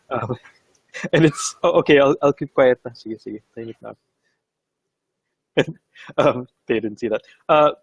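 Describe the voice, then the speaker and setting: A man's voice: medium pitch, dry recording, poor audio. A Filipino man whose first language is Bisaya, hosting a live interview in a casual, hesitant way. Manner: casual, hesitant, many fillers. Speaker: host.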